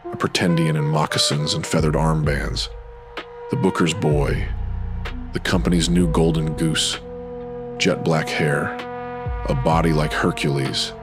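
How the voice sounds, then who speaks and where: A man narrating a horror anthology in an ominous, measured pulp radio-drama style, in short dramatic phrases. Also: rumbling low. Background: music.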